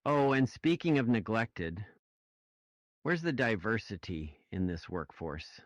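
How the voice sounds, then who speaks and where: A man's voice: fairly low pitch, expressive, slow pace, no room sound. A man in a casual podcast discussion, speaking emphatically and in a questioning way, on a slightly muffled recording. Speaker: podcast panelist.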